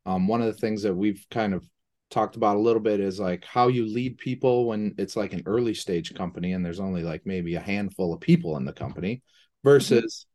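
A man's voice: on the low side, fairly quick, mellow. A man hosting a casual interview, asking informal, loosely built questions with lots of "like". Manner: informal, hedging questions full of "like". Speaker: host, interviewer.

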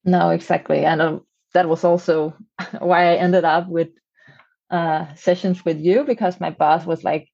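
Danish woman, native danish speaker, lowish voice, chatty interview answers, feels her way to words, kinda lo-fi. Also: steady tone, unhurried, gravelly, darkish tone. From Denmark. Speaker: podcast guest, communications consultant.

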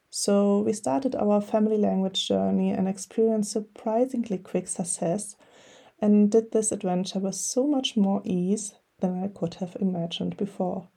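A woman's voice: medium pitch, gravelly, mellow. A middle-aged woman from Germany with a German accent, hosting her podcast and reading an earnest, encouraging script. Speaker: podcast host.

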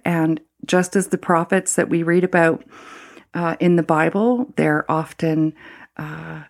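A middle-aged Canadian woman, a former priest, speaking reflectively in an interview with many pauses, fillers and restarts. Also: deep voice, vocal fry, a little mellow. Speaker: former Anglican priest, guest.

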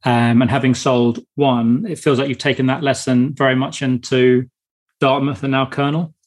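Male host, casual friendly interviewer; mid pitch, a little husky. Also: level tone. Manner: friendly, halting questions with restarts. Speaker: podcast host.

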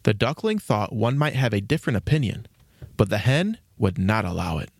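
A man's voice: fairly low pitch, big pitch swings, moderate pace, creaky, no echo. Man narrating a storybook, dry sound.

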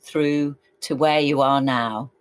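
A man interviewing a guest in a gracious, measured conversational style. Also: medium pitch, steady tone, smooth.

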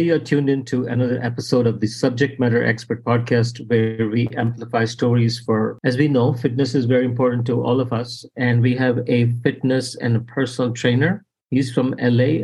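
Male podcast host, mid-pitched voice, informal, stumbling delivery. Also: steady tone, normal speed, hardly any pauses, darkish tone, slightly rough, low-quality recording. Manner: loose, restarts sentences. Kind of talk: casual interview.

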